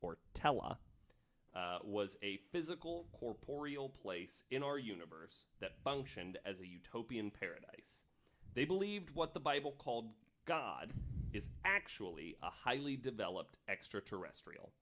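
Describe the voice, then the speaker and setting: A man hosting a podcast, explaining researched material in a casual storytelling style, with pauses between read passages. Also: mid-range voice, big pitch swings, fairly bright tone, a little hiss or hum. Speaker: podcast host.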